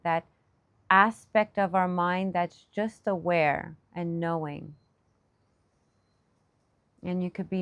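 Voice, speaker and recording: A woman leading a guided meditation in a soothing, gentle manner, speaking in short unscripted phrases with long pauses. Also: medium pitch, dry recording, dull audio. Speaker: meditation teacher.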